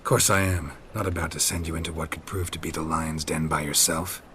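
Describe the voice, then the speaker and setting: Wry game monster hunter, very low, gravelly, clipped deadpan delivery, weary, faint noise behind. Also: male voice, brisk, full character voice. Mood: dry, wry. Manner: terse, deadpan, clipped sentences. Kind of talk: video game dialogue acting.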